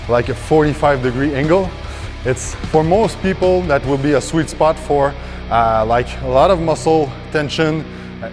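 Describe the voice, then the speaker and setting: Thirties male fitness coach, mid pitch, instructional with many fillers, gym setting, faint background music. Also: darkish tone. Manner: explains step by step with frequent fillers and restarts. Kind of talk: instructional workout explanation. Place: large indoor gym.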